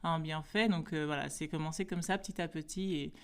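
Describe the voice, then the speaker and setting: A woman's voice: low-pitched, steady tone, brisk, clean tone. A middle-aged woman from Guinea-Bissau explaining things informally as a guest in a casual interview. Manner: informal, explanatory, occasional English words. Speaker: podcast guest, entrepreneur.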